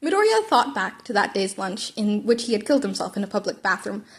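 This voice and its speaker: A woman's voice: mid-range voice, big pitch swings, a little fast, husky. A woman reading fan fiction aloud as an amateur narrator, with wry, formal asides to the listener. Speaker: amateur audiobook reader.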